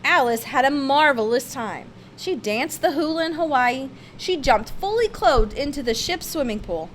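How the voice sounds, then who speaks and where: High-voiced woman reading a storybook, noisy recording. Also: animated, moderate pace. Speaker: storybook reader. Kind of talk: expressive read-aloud of a children's picture-book biography.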